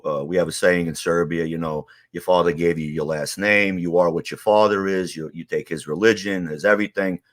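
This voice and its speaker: A man's voice: low-pitched, a bit bright. A middle-aged man telling stories in a rambling, streetwise way, with many "you know"s, as an interview guest. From Queens, New York. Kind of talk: casual storytelling.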